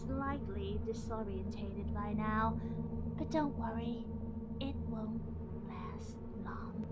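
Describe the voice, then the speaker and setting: Woman acting a gloating evil scientist lady, highish voice, takes her sweet time, smug and cold, noisy audio drama track. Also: slow pace. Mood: mocking.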